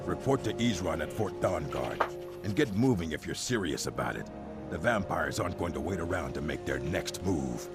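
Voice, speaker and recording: A man voicing a burly, blunt orc vampire hunter in a video game, gruff and brisk. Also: sits low, animated, over music. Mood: brisk, approving. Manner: gruff, matter-of-fact, brisk. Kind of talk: video game character acting.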